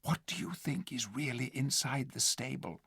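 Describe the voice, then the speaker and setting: A man's voice: medium pitch, steady tone, slow, gravelly, dry recording, studio quality, pitched above the performer's own voice. A man voicing a frightened young girl who whispers her worries. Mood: hushed fear. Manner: whispering, hushed.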